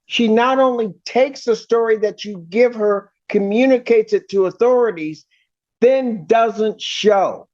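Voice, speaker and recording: A woman's voice: a little high, a little slow, a little mellow. An angry woman judge speaking sternly from the bench, scolding bluntly and emphatically. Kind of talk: stern courtroom address. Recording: dull, lo-fi courtroom audio.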